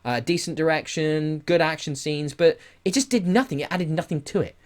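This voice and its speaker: A man in a casual podcast discussion, giving opinions in an animated, sweary way with rhetorical questions. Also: on the high side, normal speed. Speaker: podcast host.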